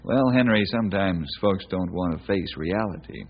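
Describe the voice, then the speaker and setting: An actor playing a brave, devout, wry ranger hero in an old time radio western. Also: male voice, low voice, moderate pace. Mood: earnest. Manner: wry, reassuring, homespun. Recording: muffled, noisy old radio recording.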